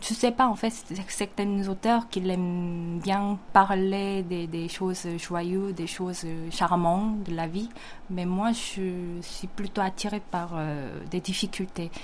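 Young Korean-accented woman, comic artist guest, halting careful answers with frequent pauses. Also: lowish voice, steady tone, slight background noise. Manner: hesitant, frequent fillers, careful non-native phrasing. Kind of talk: interview answers.

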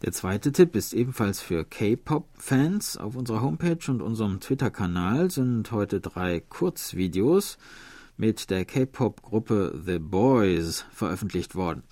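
A man's voice: medium pitch, no echo. A man presenting a radio program from a studio, reading announcements and listener mail. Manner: lively, reads listener mail aloud.

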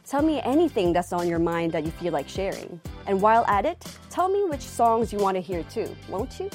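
A woman's voice: medium pitch, very animated, normal speed, darkish tone, faint music behind. Bubbly woman hosting a radio show. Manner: bubbly, nervous and giggly on her first show. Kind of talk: live radio hosting, reading listener messages. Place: radio studio.

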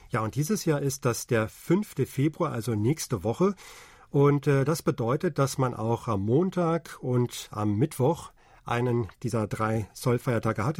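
A man in his forties co-hosting a casual podcast, explaining calmly with many hesitations. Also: medium pitch, slightly rough, dry recording, studio quality. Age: 40s. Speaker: podcast co-host.

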